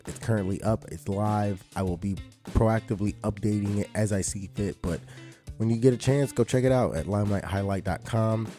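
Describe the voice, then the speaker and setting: A man hosting a podcast, talking through the intro and announcements in an easygoing, conversational way, a little hoarse. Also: on the low side, mellow. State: slightly hoarse. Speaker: podcast host.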